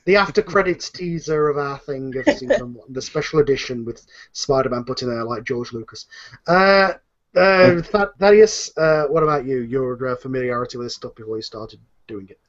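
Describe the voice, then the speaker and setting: A man's voice: medium pitch, moderate pace, lo-fi sound. A man hosting a casual, unscripted panel discussion, talking in a rambling, opinionated way. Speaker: host and audio drama series creator.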